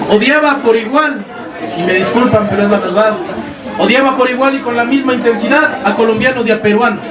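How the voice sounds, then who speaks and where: A man from Ecuador with an Ecuadorian highland Spanish accent, giving an impassioned storytelling talk to an audience, with dramatic pauses and acted-out quotes. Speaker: speaker addressing an audience. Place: large hall with an audience. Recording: echoey hall, distant mic, loud audience.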